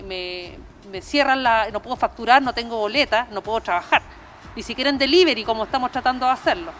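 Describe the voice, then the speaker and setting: High-pitched Chilean woman in a street interview. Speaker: small restaurant business owner being interviewed. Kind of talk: spontaneous news interview. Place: open-sided restaurant terrace by a street. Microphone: handheld reporter microphone. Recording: outdoor street noise with traffic.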